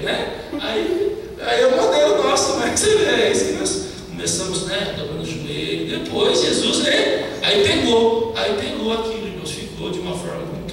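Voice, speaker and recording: Animated preacher in an echoey hall. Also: male voice, sits high, gravelly. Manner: animated storytelling, mimics broken Portuguese. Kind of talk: lively testimony sermon. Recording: echoey hall, distant mic, background noise.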